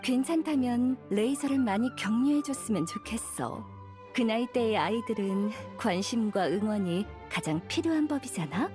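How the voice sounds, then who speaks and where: A woman playing an elegant, languid witch librarian in an anime game, coy and unhurried, with a gently caring, teasing manner. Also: a little high, lively intonation, music in the background, natural voice. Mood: coaxing. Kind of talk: Korean anime game voice acting.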